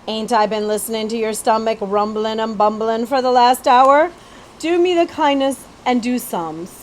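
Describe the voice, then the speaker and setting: A woman's voice: a little high, moderate pace, a little hiss or hum, close to an everyday voice. A woman voicing a kindly, jovial coachman with chatty, folksy talk in a children's storybook. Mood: generous, hearty. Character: hearty, kindly man, coach-driving potato seller.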